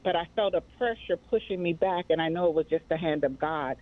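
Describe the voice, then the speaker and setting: A woman's voice: on the low side, a little fast. A middle-aged woman speaks as an interview guest, giving personal answers. Her voice comes through a narrow, tinny call connection. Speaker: guest, mental health advocacy director. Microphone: remote call. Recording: tinny, phone-like band-limited line.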